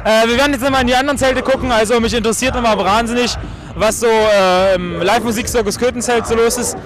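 The guy with the microphone at an open-air night festival, a pitching his voice way up over the milling crowd to joke his way through a report. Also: steady tone, fast talker. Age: young adult. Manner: loud, informal, joking. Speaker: event reporter. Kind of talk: casual on-location festival reporting. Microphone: handheld microphone with foam windscreen. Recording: loud music and crowd noise behind.

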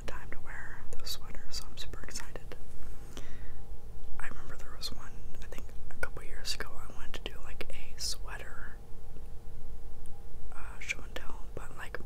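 A man in his twenties rambling casually and haltingly in a breathy, unvoiced whisper, in ASMR style, close to the mic. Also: rough recording. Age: 20s. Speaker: ASMR video creator.

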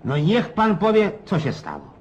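An actor playing a garrulous, cynical old Cossack in a radio play, rough-edged and folksy, prodding his listener with questions. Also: high-pitched, wildly swooping pitch, normal speed, dull audio, heavily acted. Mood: animated storytelling.

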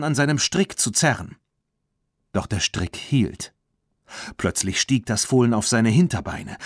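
A man narrating a children's audiobook in an expressive, dramatic storytelling manner. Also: mid-range voice, dry recording, clean studio sound.

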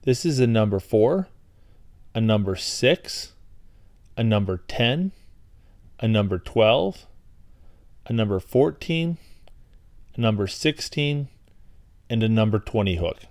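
A man giving a scripted voice-over tutorial on fishing gear, explaining in a plain, steady way. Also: fairly low pitch, slow, pauses often, dry recording, studio quality. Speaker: fishing instructor.

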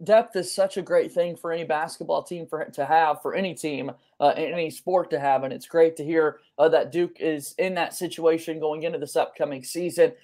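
A man's voice: a little high, fairly even intonation, brisk, few pauses, a little mellow. A young adult man hosting a sports podcast in a conversational style over a video call. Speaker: podcast host. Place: small room at home, on a video call.

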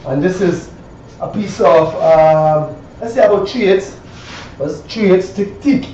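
A Caribbean man with a slightly high voice talks through a hands-on demonstration in a loose, repetitive way, often tagging phrases with a questioning check. The sound is roomy and a little dull, picked up by a camera some distance away. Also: a little slow, warm tone. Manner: rambling, repeats phrases, frequent 'right?' tags. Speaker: woodworker demonstrating hand tools. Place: small cluttered indoor woodwork shop. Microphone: camera a few metres away. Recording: echoey room, distant mic, dull, faint noise.

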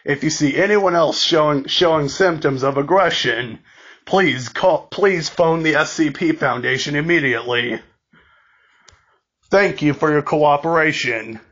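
Male alert announcer, slightly high voice, formal and deliberate with stumbles, muffled lo-fi sound. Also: a bit bright, slightly rough. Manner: formal, deliberate, halting with repeated words. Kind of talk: scripted emergency broadcast announcement, amateur reading.

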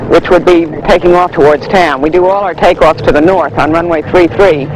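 A man with a fairly high voice gives an informal briefing. He explains like a local pilot. The sound is an old 1960s film track with clear engine-like noise outdoors. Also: steady tone, brisk.

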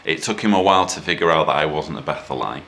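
Deep, bright-voiced British man. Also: quick pace. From United Kingdom. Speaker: vlogger. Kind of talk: casual commentary to camera. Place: small indoor room at a desk.

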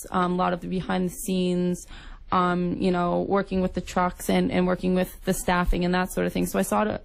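A woman's voice: lowish voice, vocal fry. A young woman from Nova Scotia with a Canadian accent, answering earnestly as a guest in a casual radio interview. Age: young adult. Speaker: guest, incoming student union executive. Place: radio studio.

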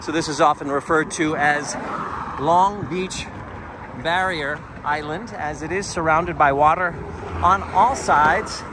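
A man's voice: on the high side, slow pace. Chatty New man walking outdoors. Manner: chatty, digressive, tour-guide explaining. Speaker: walking tour vlogger. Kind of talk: casual walking narration. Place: outdoors on a bridge footpath by the sea. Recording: outdoor street noise. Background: traffic and wind noise.

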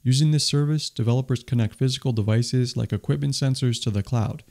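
A man's voice: mid-range voice, a little fast, creaky, mellow. A man hosting a tech video, reading a scripted voice-over summary with an enthusiastic, explanatory delivery. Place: voice-over, not recorded on the stage pictured.

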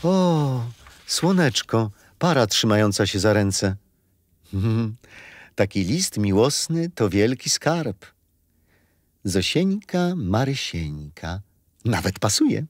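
A kindly old grandfather in a children's radio play, explaining things patiently with gentle storytelling. His intonation moves around in a lively way and the sound is close and dry. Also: lowish voice, takes it slowly, no echo, exaggerated voice. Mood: affectionate, curious.

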